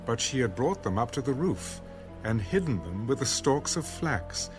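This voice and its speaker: Expressive male narrator over music. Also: medium pitch, moderate pace. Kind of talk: scripted dramatized Bible narration. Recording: music under the voice.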